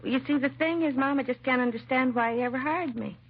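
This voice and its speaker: An American actress playing a timid, self-doubting young secretary in a 1950s radio drama, hesitant and apologetic. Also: female voice, high voice, a little fast, husky. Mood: self-deprecating, nervous. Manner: hesitant, nervous, apologetic, trailing and restarting. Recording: muffled vintage radio recording, faint hiss.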